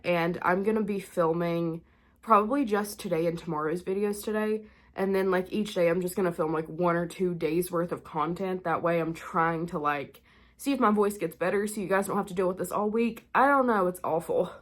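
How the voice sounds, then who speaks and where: A woman's voice: on the low side, normal speed. A young woman hosting a spooky vlog, sounding stuffed-up and nasal and a bit short of breath, chatting casually with lots of fillers. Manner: chatty, rambling with many "like" fillers. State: congested, nasal from illness. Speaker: spooky-content creator and host. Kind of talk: casual chat to camera.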